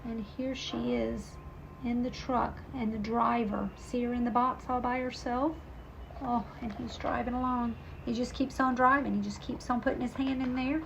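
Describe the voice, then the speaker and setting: A woman's voice: fairly high pitch, flat delivery, normal speed, gravelly, fairly bright tone, lo-fi sound, a little hiss or hum. A woman reading a storybook aloud to children as a teacher, with asides to her young listeners.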